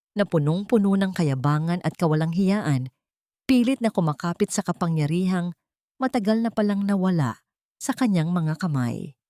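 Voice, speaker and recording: Female Filipino storyteller, lowish register, measured dramatic read, close dry sound. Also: darkish tone, no room sound. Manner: measured, formal, dramatic delivery. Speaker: story narrator. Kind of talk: scripted dramatic story narration.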